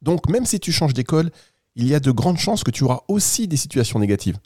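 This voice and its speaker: A man voicing a kind, reassuring talking squirrel from a children's tale, with a soft, gentle way of explaining things. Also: fairly high pitch, sing-song, fairly quick, noisy background. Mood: wise, cheerful. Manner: patient, explaining simply to a child.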